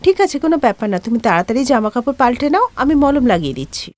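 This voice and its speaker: A woman's voice: on the high side, big pitch swings, quick pace, a little mellow, no echo. A woman voicing a caring, resourceful young wife and mother, earnest, in a cartoon moral story.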